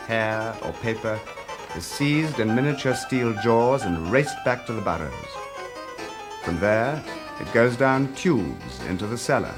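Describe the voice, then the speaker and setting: A man narrating a scripted story in a measured, theatrical manner, with deliberate pauses. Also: fairly low pitch. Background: music.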